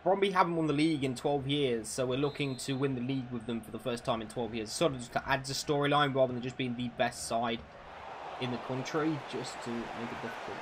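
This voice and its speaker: Young man in his twenties, mid-pitch voice, loose and profane, chatting to his live audience between plays. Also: steady tone, fairly quick, background noise. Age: 20s. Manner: chatty, swears freely, answers viewers' questions. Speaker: gaming streamer. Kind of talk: casual live-stream chat over a football game.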